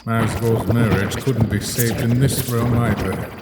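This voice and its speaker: A man's voice: mid-range voice, steady tone, unhurried, heavy background noise, close to an everyday voice. A man voicing a lonely, long-trapped scientist father in a comic dub, brooding and formal in his reflective monologues. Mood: wistful. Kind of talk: dramatic voice acting for a comic dub. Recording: roomy echo under loud music.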